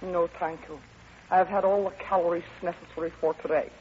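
An actress playing a stern, literal-minded Russian comrade in an old radio comedy, speaking in a clipped, deadpan, formal way. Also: female voice, lowish voice, a little fast, close to an everyday voice. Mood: clinical, curious. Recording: dull, noisy vintage radio recording.

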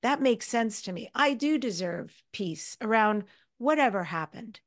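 A woman's voice: fairly high pitch, animated, unhurried. An American woman speaking in a reflective, candid, conversational way as a podcast host interviewing a guest.

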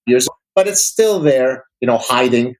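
A man's voice: medium pitch, animated, moderate pace, rough recording. A man from Lebanon whose first language is Arabic, giving emphatic, articulate opinions in formal vocabulary as an academic interview guest.

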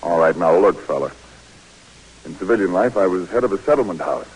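An actor playing a calm, kindly Red Cross worker who talks in a reassuring, steady and direct way, in 1940s radio drama style. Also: male voice, low voice, fairly quick, breathy edge, rough recording.

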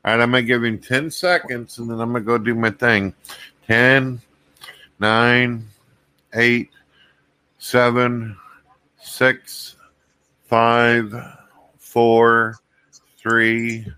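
A man's voice: mid-range voice, flat delivery, very slow, long pauses, bright, forceful tone, clean tone, dry recording. A middle-aged man hosting a livestream, casual and joking with his guests. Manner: joking, chatty, clowning. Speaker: host.

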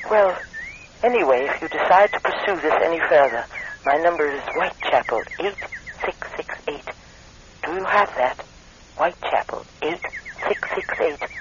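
An actress playing a frail, earnest spirit medium, politely insistent and grave, on the phone in an old radio drama. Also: female voice, sits low. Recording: noisy, muffled old radio phone call.

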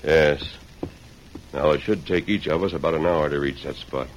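An actor playing a calm, resolute cowboy lawman hero in an old-time radio western, speaking in a measured, formal, authoritative way. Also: rumbling low. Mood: instructing. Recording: noisy vintage radio drama recording.

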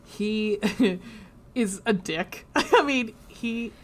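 A woman with a low voice co-hosting a podcast, chatting casually and swearing freely, with frequent ums and trailing thoughts. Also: expressive, extremely slow. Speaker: podcast co-host. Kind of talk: casual chat.

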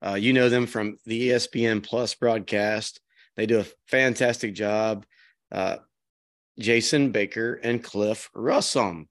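A man's voice: fairly low pitch, steady tone, measured pace. A man hosting a podcast with a strained voice, chatting casually and rambling with restarts. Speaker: podcast host. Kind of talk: casual chat.

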